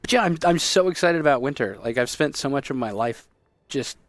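A man's voice: mid-range voice, lively intonation, a little fast, dry recording. A man, chatting casually and playfully while co-hosting a strategy game stream from a small home room. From Texas, USA. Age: 30s. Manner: playful, hammy, breaks into loud exaggerated bits. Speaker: streamer co-host. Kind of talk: casual gameplay chat.

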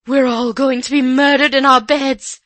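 A woman narrator puts on an older housekeeper aunt from a storybook. She is scornful and easily panicked, with a quavering voice that swoops in pitch, recorded dry and close. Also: animated, slow pace, fairly bright tone, dry recording.

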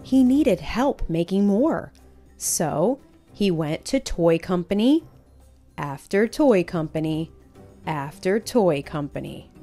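A woman reading a children's picture book aloud in an expressive, emphatic storytelling manner. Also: on the low side, slow pace, faint music behind.

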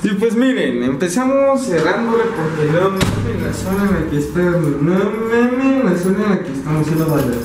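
A man's voice: sits high, moderate pace, few pauses, recorded from a distance, background noise. A young man with a Mexican Spanish accent giving a casual, slangy tour of his small apartment to his viewers. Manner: slangy, energetic, talks to viewers as "banda". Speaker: vlogger. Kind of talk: casual house tour vlog. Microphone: handheld camera mic. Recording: echoey room, a bit off-mic.

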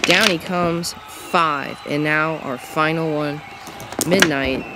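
Excitable man doing play-by-play. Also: on the high side, slow pace. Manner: excitable, rising on big moments. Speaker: marble race commentator. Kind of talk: play-by-play commentary. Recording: loud background noise.

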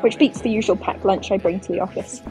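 A woman's voice: mid-range voice, fast talker, music in the background, rough recording. A woman in her twenties, a PhD student, narrating a vlog and chatting casually. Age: 20s.

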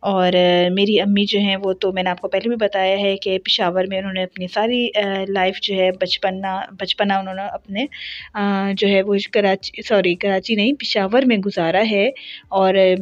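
A woman's voice: medium pitch, steady tone, normal speed, dull audio. A woman in her thirties from Pakistan, chatting casually to her vlog audience with lots of fillers and self-corrections. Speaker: family vlogger.

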